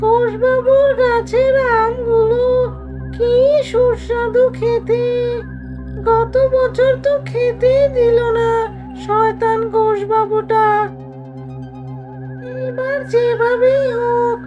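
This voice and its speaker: A greedy cartoon witch ghost with an extremely high, childlike voice. She drags her words slowly and theatrically. The sound is slightly dull, with faint music behind. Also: flat delivery, warm tone. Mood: greedy longing. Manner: drawn-out gloating with cackles. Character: greedy, gleeful female mango-thieving witch ghost. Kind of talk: animated horror-comedy cartoon acting.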